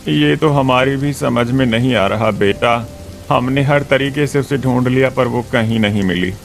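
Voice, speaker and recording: A man voicing a measured, fatherly older village uncle in a cartoon story. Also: medium pitch, gravelly. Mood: resigned. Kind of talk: Hindi cartoon story voice acting.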